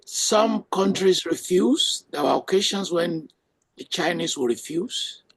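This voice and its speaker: A man hosting a panel discussion as moderator, giving brisk directions to speakers. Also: high voice, animated, breathy edge. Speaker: host and moderator. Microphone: video call.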